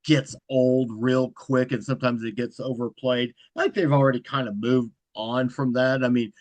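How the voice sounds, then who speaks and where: A man talks as a podcast guest in an easygoing, level delivery that he calls monotone. His voice sits at a middling pitch. The sound is close and dry, with no room around it. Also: normal speed, dry recording. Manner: self-described monotone, even delivery. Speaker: guest, horror content creator. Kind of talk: casual interview chat.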